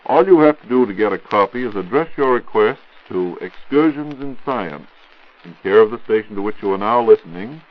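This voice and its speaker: Low-voiced 1940s American radio announcer man. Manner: formal, measured, polished announcer delivery. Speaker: radio show host and announcer. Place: radio studio. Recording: muffled 1940s radio broadcast.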